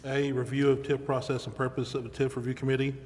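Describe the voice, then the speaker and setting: A man's voice: medium pitch, little pitch movement, quick pace, darkish tone. A man acting as committee chair, running formal meeting procedure in a council chamber. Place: large indoor council chamber. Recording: reverberant council chamber, faint background noise.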